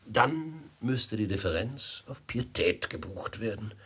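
An actor in a German radio play playing a smooth, mock-courteous bandit chief who extorts with unctuous, theatrical politeness. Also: male voice, fairly low pitch, animated, slow pace, a bit bright, heavily acted. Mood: slyly courteous.

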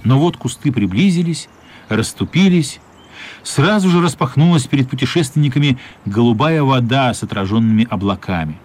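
A man's voice: mid-range voice, animated, moderate pace, a little mellow. A man narrating a radio play in a scripted storytelling style.

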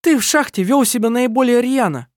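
A reader voicing a furious young woman who shouts accusations in an audiobook. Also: fairly quick, dry recording, clean studio sound, lightly acted. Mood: angry. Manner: shrill, shouting.

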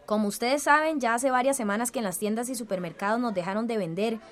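A determined community leader played by a woman in a radio drama. Her voice is high, and she speaks in a firm, orderly, appealing way as she chairs a village meeting. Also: fast talker, natural voice.

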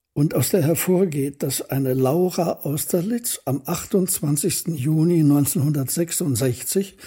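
An actor playing a melancholy, reflective scholar who recounts his life in a measured, formal radio drama monologue, with a husky voice. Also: a little high, mellow. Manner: measured, contemplative, formal storytelling.

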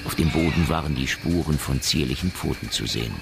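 A man's voice: very deep voice, fairly quick, creaky, music in the background. A man reading scripted narration as the narrator of a science fiction radio drama.

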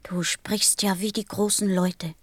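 A woman's voice: mid-range voice, steady tone, unhurried, dry recording, natural voice. A woman playing a sensitive, earnest little boy prince in a radio play, speaking with insistence. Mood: angry. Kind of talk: German radio play acting.

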